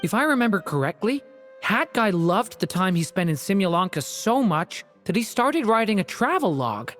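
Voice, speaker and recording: A woman voicing an earnest, gentle young dragon boy in an anime game, sincere and open. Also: mid-range voice, big pitch swings, normal speed, long reverb tail, faint music behind, close to an everyday voice. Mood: fond.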